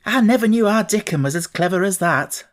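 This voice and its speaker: A man's voice: sits high, lively intonation, fairly quick, pitched above the performer's own voice. A man voicing a proud, good-natured young housemaid who exclaims in broad Yorkshire dialect for a storybook. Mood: proud, amazed.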